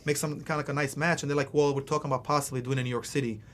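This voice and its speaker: A man's voice: mid-range voice, fairly even intonation, rapid-fire, fairly bright tone, slight background noise. A man who grew up in Philadelphia after early years in Albania, a mixed martial arts fighter talking casually as a podcast guest, rambling with many fillers. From Albania, raised in Philadelphia. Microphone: studio podcast mic.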